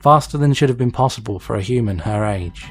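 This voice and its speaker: A man's voice: mid-range voice, fairly quick, soft background music. A man reading an action story aloud as narrator, in a dramatic scripted style. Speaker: fan fiction reader, narrator.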